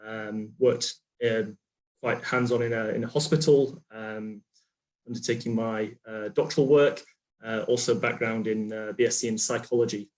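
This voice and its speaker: A man's voice: lowish voice, fairly even intonation, normal speed, a little mellow, poor audio. A man from the UK with a British accent, a postdoctoral researcher giving a conference presentation over a video call, explaining with frequent ums and uhs. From United Kingdom.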